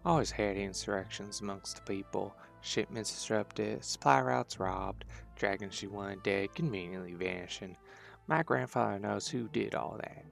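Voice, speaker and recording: An actor playing a sly male dragon envoy from a criminal band, persuasive and measured, in an audio drama with music behind. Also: lowish voice, gravelly. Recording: background music under the voice.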